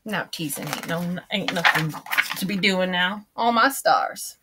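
A woman's voice: lowish voice, measured pace, very noisy, natural voice. A woman voicing a folksy old grandma who reads a storybook aloud in a chatty, homespun, theatrical way. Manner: chatty and theatrical, gasping and exclaiming.

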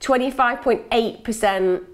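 A woman in her thirties, chatting excitedly at her kitchen table and exclaiming over her results. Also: on the high side, a little slow. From England. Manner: excitable, exclaiming, laughing often. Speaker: channel host. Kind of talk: casual chat reacting to DNA results. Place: kitchen table at home, indoors.

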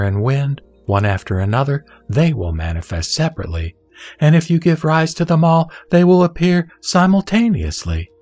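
A man reciting a sutra as a solemn scripted reading, in measured, deliberate phrases with pauses. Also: on the low side, a little mellow. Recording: background music under the voice. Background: music.

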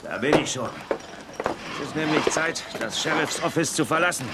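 A man acting a seasoned, fatherly sheriff in a children's Wild West radio play, measured and reassuring. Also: a little high, very noisy, natural voice. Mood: hurried, cheerful.

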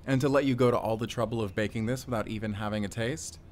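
A man playing a friendly, bashful forest ranger and doting father in an intimate audio roleplay, chatty and gently teasing. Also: fairly low pitch, steady tone, fast, slight background noise, natural voice. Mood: teasing, delighted.

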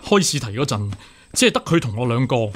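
An actor with a Hong Kong Cantonese accent playing a hot-headed, righteous young court official, earnest and impassioned, in a radio drama. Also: male voice, medium pitch, sing-song, brisk, a little hiss or hum, natural voice. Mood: agitated, earnest.

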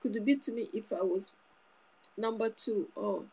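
A woman's voice: mid-range voice, unhurried, a little mellow, husky, poor audio. A woman in her thirties giving an informal, earnest sermon to her phone camera in a small room, often repeating and restarting phrases. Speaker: preacher. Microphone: phone camera close up.

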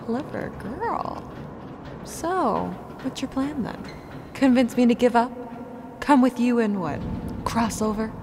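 A woman's voice: fairly high pitch, expressive, slow pace, background noise. An actress playing a mocking, vengeful female spirit in a radio play, sly and theatrical. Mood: cool, taunting. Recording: voice over loud music.

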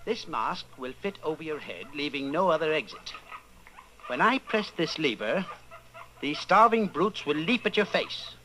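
An actor playing a cold, domineering inquisitor in a radio drama, speaking with deliberate, menacing authority. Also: male voice, on the high side, expressive, noisy background, natural voice. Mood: calm menace. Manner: deliberate, commanding, interrogating. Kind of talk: old-time radio drama acting.

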